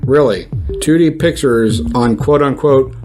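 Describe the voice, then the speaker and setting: A man's voice: mid-range voice, measured pace, music in the background, lightly acted. Man playing a smug, dim male news reporter. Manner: condescending, dim, cocksure. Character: obtuse, smugly confident male radio news reporter. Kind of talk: comedic audio fiction, mock radio news.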